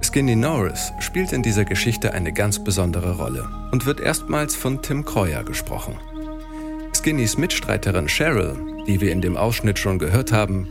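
Low, lively male presenter over music. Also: creaky. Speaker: feature presenter. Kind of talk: scripted narration. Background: music bed.

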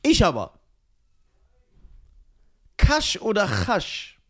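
Lively man reading out a list. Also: high voice, extremely slow. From Iran. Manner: animated, mock-dramatic when reading entries aloud. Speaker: podcast co-host. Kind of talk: casual chat, reading out a list.

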